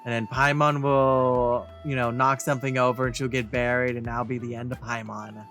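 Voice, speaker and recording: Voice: medium pitch, level tone, normal speed, fairly bright tone, soft background music. A let's play host giving casual, musing commentary while reacting to a game.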